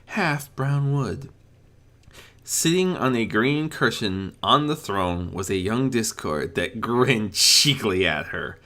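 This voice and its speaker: Very animated male storybook narrator. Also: medium pitch. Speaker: narrator of a fan fiction audiobook.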